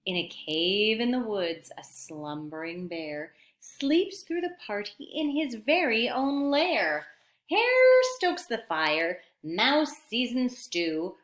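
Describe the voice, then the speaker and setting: A woman reads to young children like a teacher at storytime. Her fairly high voice swoops expressively at an unhurried pace, with an audible room echo. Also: big pitch swings, a bit bright. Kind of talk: animated picture book read-aloud. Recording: roomy, echoey sound.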